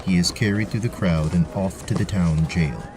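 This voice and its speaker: A man's voice: deep voice, moderate pace, a little mellow, a little hiss or hum. A man narrating a scripted fantasy story as the game master of an audio drama.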